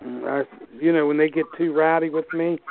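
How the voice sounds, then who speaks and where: Gravelly man in his sixties. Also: on the high side, fairly even intonation, a little fast, soft background music. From Pittsburgh area. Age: 60s. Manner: plainspoken storyteller, repeats himself. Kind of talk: casual chat. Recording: dry, phone-like lo-fi sound.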